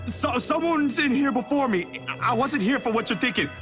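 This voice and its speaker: An actor playing an anxious, earnest young man in a video game, hesitant and stammering, with a breathy voice. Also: very high voice, expressive, moderate pace, over music. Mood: panicked, pleading. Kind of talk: visual novel game acting.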